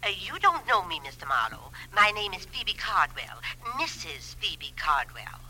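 Fluttery genteel old widow, bright, animated. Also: female voice, lowish voice, measured pace, faint music behind, exaggerated voice, low-quality recording. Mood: fluttery, apologetic. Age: older. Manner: hesitant, fluttery, refined, overly precise. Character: genteel, fussy, proud elderly widow. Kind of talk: 1950s radio drama acting.

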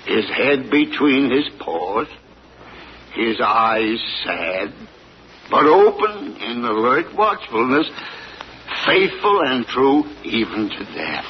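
An actor playing an old rural farmer on trial, talking in a slow, plain, earnest drawl. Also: male voice, medium pitch, big pitch swings. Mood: pleading. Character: old farmer on trial, defending his hound. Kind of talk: 1940s radio acting. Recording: dull old radio broadcast, faint hiss.

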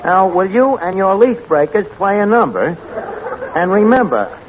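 An actor playing a sardonic, lowbrow tavern manager with a New York accent and deadpan, ungrammatical delivery in 1940s radio comedy style. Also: male voice, unhurried. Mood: blunt, sardonic. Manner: deadpan, mangles grammar and big words. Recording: tinny, noisy old radio broadcast recording.

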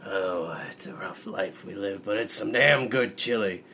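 A man improvising a gruff camp counselor character in a comedy roleplay game. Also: on the low side, unhurried, bright, forceful tone, slight background noise. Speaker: player.

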